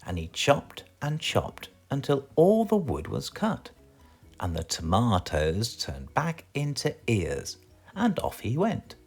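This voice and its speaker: A man with a British accent reading a children's storybook aloud in a theatrical, expressive way. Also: medium pitch, slow, creaky, husky, soft background music. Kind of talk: animated children's storybook narration.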